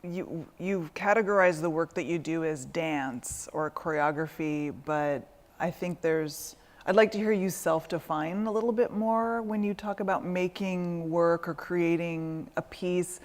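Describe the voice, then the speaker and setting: Low-voiced woman interviewer in a hall. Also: fairly even intonation. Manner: thoughtful, halting questions. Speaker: curator and interviewer. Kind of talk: interview questions. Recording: reverberant hall.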